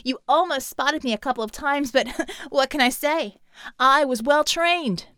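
Daring young heroine, voiced by a woman: high, shouted, cheeky, audiobook action. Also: measured pace, dry recording, clean studio sound. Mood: brash teasing. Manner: shouting, teasing.

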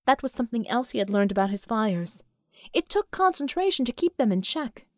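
A woman's voice: on the high side, very animated, a little fast, dry recording, clean studio sound. A woman reading a story aloud as scripted audiobook narration.

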